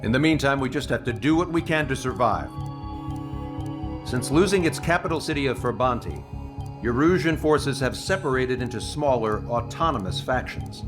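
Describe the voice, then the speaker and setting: An actor playing a level-headed military squadron leader, matter-of-fact and commanding, in a game cutscene. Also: male voice, mid-range voice, normal speed, over music, natural voice. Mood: businesslike. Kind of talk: game cutscene briefing dialogue.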